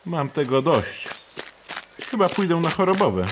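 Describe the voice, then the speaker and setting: A man voicing a weary, dutiful male warrior lieutenant with a very expressive delivery. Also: a little slow, noisy background. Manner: very expressive, swinging pitch.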